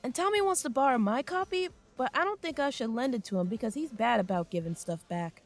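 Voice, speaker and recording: A woman's voice: on the high side, animated, brisk, faint music behind. A woman playing an earnest schoolchild in an audio drama. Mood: earnest, upbeat. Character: earnest schoolchild talking to mom. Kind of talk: naturalistic audio drama dialogue.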